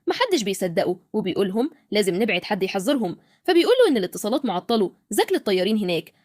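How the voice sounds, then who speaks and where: Very fast Egyptian woman narrating. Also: medium pitch, a little mellow, no echo, clean studio sound. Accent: Egyptian Arabic. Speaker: film recap narrator. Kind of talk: scripted movie recap narration.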